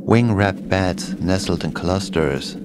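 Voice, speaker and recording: A man's voice: low voice, slow pace, noisy background. A man narrating a scripted first-person horror story as a podcast host.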